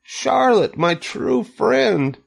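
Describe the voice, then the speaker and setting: A man voicing a young male pig, desperate and excitable, pleading urgently in a storybook reading. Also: sits high, racing, gravelly, pitched above the performer's own voice, heavily acted. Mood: anguished.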